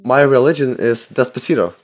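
A man trolling strangers in game voice chat, deadpan and mock-indignant, talking into a headset mic. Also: mid-range voice, a little fast, soft background music. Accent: put-on Russian. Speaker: prank-style player in voice chat.

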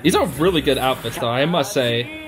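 A young man giving casual, excitable, slangy reaction commentary as a video host. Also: medium pitch, brisk. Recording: music playing behind the voice. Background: stage show music.

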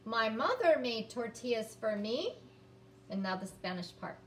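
Slow-paced woman teaching, roomy distant sound. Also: a little high, very animated. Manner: deliberate, sounds words out, encouraging. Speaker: early-grades teacher. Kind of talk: children's phonics lesson and read-aloud. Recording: roomy, distant mic, background noise.

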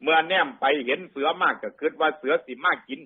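Husky Isan man telling moral tales. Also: on the high side, fast, narrow, tinny audio. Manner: colloquial, repeats phrases for emphasis. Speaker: Buddhist storyteller addressing lay listeners. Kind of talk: oral moral folk-tale narration.

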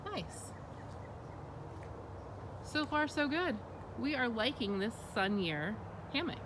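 A woman's voice: on the high side, big pitch swings, slow pace. Easygoing woman vlogging outdoors. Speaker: co-host. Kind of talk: casual vlog chat. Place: outdoors in woods. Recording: outdoor camera mic with clear background noise.

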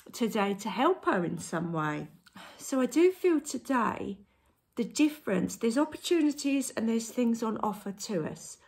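A woman in her forties with a hoarse, croaky voice, gently talking through a tarot reading to a phone camera at home and often asking rhetorical tag questions. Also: a little high. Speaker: tarot reader. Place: indoor room at home. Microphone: phone camera at arm's length.

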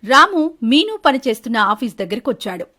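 A woman's voice: fairly high pitch, big pitch swings, brisk, sharp tone. A woman storyteller delivering scripted narration for a story.

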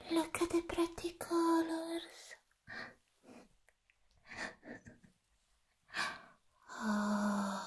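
Young woman acting mad playful villainess, hushed breathy whisper, slow drawn-out phrasing, teasing menace, ASMR roleplay. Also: high voice, full character voice. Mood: giddy, dreamy. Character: mad, playful female comic-book villain, giddy and menacing.

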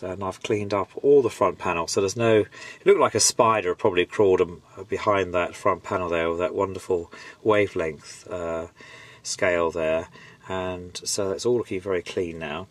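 A man in his fifties with a head cold, hoarse, rambling casually with fillers while showing a repair in his home workshop. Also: deep voice. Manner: rambling, many fillers and restarts. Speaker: electronics hobbyist vlogger. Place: home garage workshop, indoors.

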